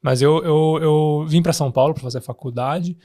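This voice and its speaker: A man's voice: a little high, moderate pace, mellow. Taiwan-born guy with an araraquara accent, founder chatting on a podcast, lots of "né" and restarts. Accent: Araraquara Brazilian Portuguese. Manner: tags sentences with "né", frequent restarts. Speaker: guest, startup founder. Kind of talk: casual interview chat.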